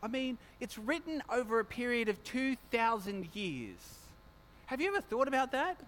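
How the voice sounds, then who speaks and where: Loud, high-voiced pastor. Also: male voice, big pitch swings, normal speed, slight background noise. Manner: chatty, self-mocking, joking with the congregation. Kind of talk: church notices and sermon. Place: church hall. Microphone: handheld or stand microphone.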